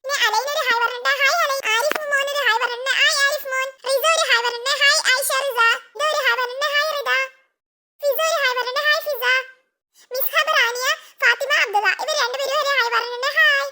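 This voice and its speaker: A woman hosting a cartoon channel, giving casual, chatty shout-outs to viewers in a repetitive greeting pattern. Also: very high-pitched, steady tone, quick pace, pauses often.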